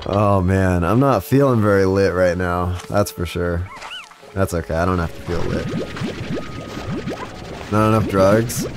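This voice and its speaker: A man's voice: deep voice, measured pace, pauses often, over music. A young man chatting casually with his live chat while playing a game, stuffed up with a cold, rambling with frequent restarts. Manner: rambling, talks to chat, self-interrupting restarts. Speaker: streamer. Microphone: studio mic with compressor.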